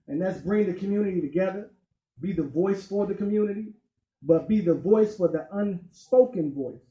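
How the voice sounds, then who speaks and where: A man speaking as a candidate at a community meeting, emphatic and deliberate, pausing on key points. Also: high-pitched, dark tone, dull audio. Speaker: county council candidate. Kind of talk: campaign speech at community meeting. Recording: echoey meeting room.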